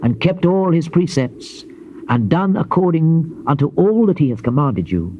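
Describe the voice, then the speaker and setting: Solemn prophet, scripture drama, measured declamation, warm dark tone, dull cassette sound with faint hiss. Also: male voice, fairly high pitch, very animated. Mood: stern, solemn. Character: solemn male Old Testament prophet recounting God's warnings. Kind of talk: dramatized King James scripture reading. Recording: dull noisy old cassette tape.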